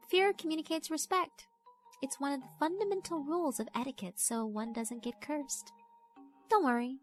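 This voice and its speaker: A woman's voice: high-pitched, voice pushed up. A woman voicing a mischievous, predatory girl spirit in a horror visual novel, teasing and politely condescending. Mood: calm menace. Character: mischievous, predatory yokai schoolgirl, doll-like, elegant.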